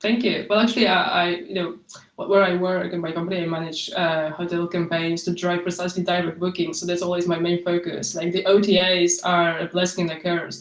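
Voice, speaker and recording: A woman student answering questions on a video call, explaining informally in a hesitant, searching way. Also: on the low side. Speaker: student presenter taking questions. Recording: echoey room, distant microphone.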